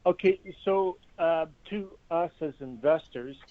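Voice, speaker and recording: Tinny phone-line male caller, higher voice. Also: a little slow. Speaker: caller, investor client. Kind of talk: asking questions on a conference call.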